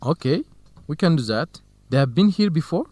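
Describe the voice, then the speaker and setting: An actor playing a helpful, knowledgeable young researcher, explaining things in simple sentences in an educational radio drama. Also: male voice, on the high side, lively intonation, normal speed, dark tone, no echo. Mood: friendly.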